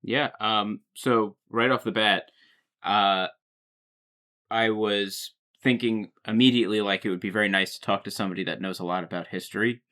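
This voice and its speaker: A man's voice: on the low side, fairly even intonation, moderate pace, pushed and bright. A man on a casual podcast, explaining things with many fillers. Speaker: podcast guest. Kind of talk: casual chat.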